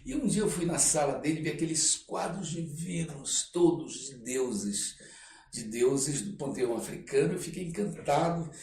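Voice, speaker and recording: A man's voice: fairly high pitch, moderate pace, a little mellow. Older Brazilian man in a roomy recording. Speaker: interview guest, artist. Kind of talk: conversational storytelling. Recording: roomy, distant mic, faint noise.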